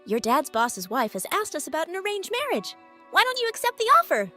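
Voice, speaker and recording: Woman voicing pushy matter-of-fact mother, high pitch, wildly swooping intonation, manga dub. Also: very animated, fairly quick, sharp tone, exaggerated voice. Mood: brisk. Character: brisk, pushy middle-aged mother of a grown son. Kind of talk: animated comic dub acting. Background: background music.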